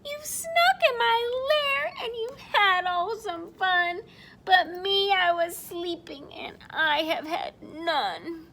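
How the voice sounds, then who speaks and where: Woman acting out a whiny, high-voiced grumpy bear. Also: very animated, very slow, few pauses, a bit bright, slight background noise, full character voice. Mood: whiny, hurt. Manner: whining, wailing complaint. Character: big grumpy bear, self-pitying and whiny.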